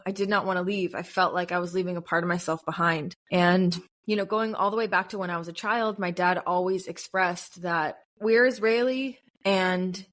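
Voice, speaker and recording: A woman in her thirties from the United States, a podcast guest chatting casually and telling lively stories. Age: 30s. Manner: animated storyteller, bursts into high-pitched acted quotes and laughs. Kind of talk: casual interview chat.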